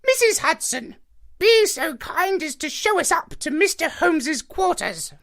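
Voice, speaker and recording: A man's voice: very high-pitched, big pitch swings, gravelly, fairly bright tone, voice pushed up, heavily acted. A man voicing a vain, pompous talking male parrot in a storybook reading, speaking with lofty condescension. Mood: haughty. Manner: lofty, condescending, drawn-out declarations.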